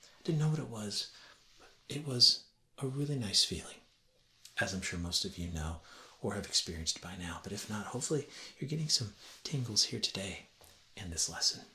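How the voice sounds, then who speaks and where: A man, perhaps in his thirties, gently and deliberately explaining things as a teacher in a soft-spoken ASMR roleplay lesson. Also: lowish voice, animated. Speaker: ASMR creator playing a teacher. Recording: roomy echo with faint ambient noise.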